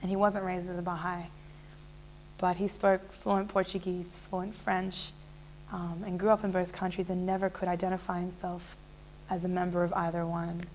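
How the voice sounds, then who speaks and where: A woman, telling her life story in a relaxed, chatty way full of fillers and restarts. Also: fairly low pitch, normal speed, a little hiss or hum, rough recording. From Australia. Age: 20s. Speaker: interviewee. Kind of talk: casual personal storytelling.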